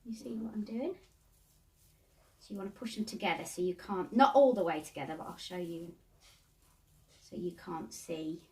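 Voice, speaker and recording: A woman's voice: lowish voice, normal speed, long pauses, a little mellow. Woman chatting through a craft tutorial, sometimes distant. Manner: chatty, self-talking while working. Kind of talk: casual hands-on craft tutorial.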